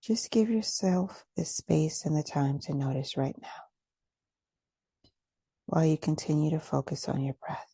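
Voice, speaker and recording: A woman guides a meditation in a low, husky voice with a gravelly edge. She speaks softly and deliberately, leaving long, gentle pauses. The sound is close and dry, with very little room around her. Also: lively intonation, no echo. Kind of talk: guided meditation, soft and soothing.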